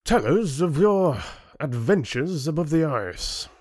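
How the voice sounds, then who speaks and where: An older, gluttonous fantasy chieftain, acted out by a male audiobook reader, speaking in a raised pitch. He is pompous and sneering, theatrically dismissive of those beneath him. The sound is close and dry. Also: very animated, slow pace, no echo, full character voice. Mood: sneering, bored. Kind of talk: audiobook character acting.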